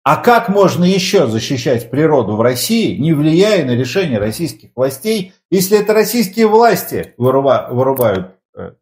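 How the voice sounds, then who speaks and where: A man's voice: fairly high pitch, big pitch swings, normal speed, hardly any pauses, a little mellow. A man with a Moscow accent co-hosting a talk show, mixing news commentary with banter and reading from the chat. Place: studio.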